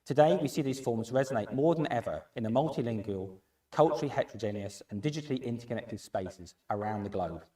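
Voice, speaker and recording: A man's voice: mid-range voice, expressive, fast talker, warm tone. A man chairing a panel in a lecture hall, opening with a formal, read-out introduction. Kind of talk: scripted introduction. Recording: roomy hall sound, mic at a distance.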